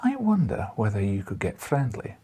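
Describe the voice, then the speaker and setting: An old Scottish newspaper editor played by a male reader, crabbed yet coaxing. His voice is very breathy and husky and swings widely in pitch, with a teasing, deliberate manner suited to a classic adventure audiobook. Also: wildly swooping pitch, warm tone, exaggerated voice. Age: older.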